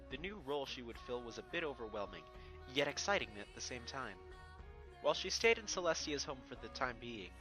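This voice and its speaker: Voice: medium pitch, poor audio. A narrator reading a fan fiction story expressively from a script, with music behind. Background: background music.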